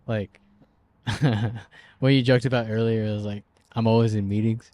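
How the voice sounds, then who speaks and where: A man in his twenties co-hosting a podcast, talking in a relaxed, slangy way and laughing often. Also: on the low side, normal speed, a little hiss or hum. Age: 20s. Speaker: podcast co-host. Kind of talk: casual chat.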